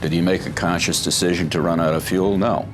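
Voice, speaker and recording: A man's voice: low voice, fairly even intonation, brisk, faint music behind. A man giving opinionated commentary as an expert interviewee.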